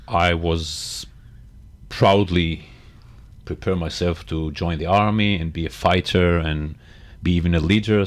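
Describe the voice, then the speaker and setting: A middle-aged man from Israel, a panel guest giving halting, earnest personal testimony. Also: deep voice. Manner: earnest, halting, searching for words.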